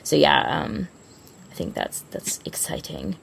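A woman's voice: low voice, wildly swooping pitch, unhurried, gravelly, breathy edge, rough recording. A woman co-hosting a podcast, chatting casually and informally with gushing fan enthusiasm. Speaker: podcast co-host.

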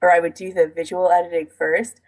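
A woman's voice: fairly low pitch, level tone, brisk, poor audio. A woman co-hosting a casual podcast, chatting and explaining her routine in detail in an informal way. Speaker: podcast co-host.

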